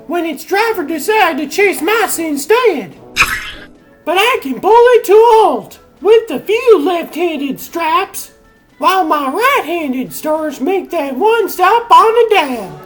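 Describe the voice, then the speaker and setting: A woman's voice: very high voice, exaggerated voice. A woman voicing a breezy, confident animal superheroine in a comic dub, theatrical, with music underneath. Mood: showy. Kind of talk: comic dub character acting.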